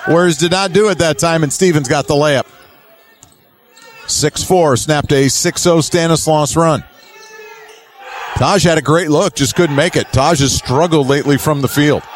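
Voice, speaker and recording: A man with a slightly high voice gives live basketball commentary. He works in short, clipped phrases as play develops and punches the key calls with emphasis. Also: normal speed, a faint crowd. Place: courtside at an indoor basketball game.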